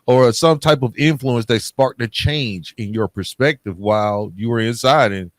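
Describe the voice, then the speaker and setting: A man speaks as a podcast host in a medium-pitched voice with a very dry sound. He keeps it casual, offering short affirmations and loosely phrased follow-up questions. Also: moderate pace, dry recording. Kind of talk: casual interview, brief affirmations.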